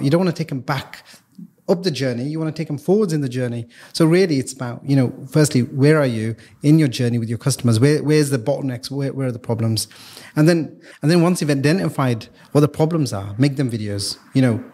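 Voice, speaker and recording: Male podcast guest, mid-pitch voice, talks through business video strategy casually, stumbles and repeats words as he goes. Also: a little mellow. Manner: explanatory, many restarts and repeated words. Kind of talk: casual expert explanation.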